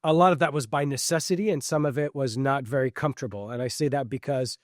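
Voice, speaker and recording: A middle-aged man speaks at an even middle pitch, thinking aloud as a podcast guest. He restarts his sentences often and adds fillers as he looks back. The sound is dry and close, with no room around it. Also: quick pace, no room sound. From Switzerland. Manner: reflective, with frequent fillers and restarts. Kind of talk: conversational interview answers.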